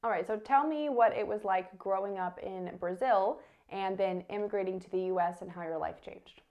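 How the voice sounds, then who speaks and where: A woman with a mid-range voice hosts an interview. She reads a short intro and then asks clear, direct questions. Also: mid-range voice, normal speed, lo-fi sound.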